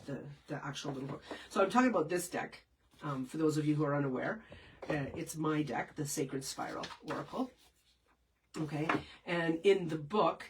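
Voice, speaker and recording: A woman's voice: sits low, far from the mic. A woman with a head cold, answering viewer questions as a spiritual teacher in a casual, meandering live session. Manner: meandering, many fillers and restarts.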